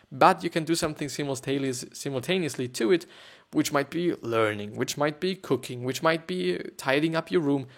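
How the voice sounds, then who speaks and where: A man from Austria with a German accent, hosting a podcast in a hesitant, rambling way full of fillers and repeated words before he reads a story aloud. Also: medium pitch. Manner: hesitant, repetitive, many fillers. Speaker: podcast host. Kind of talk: rambling casual monologue and reading aloud.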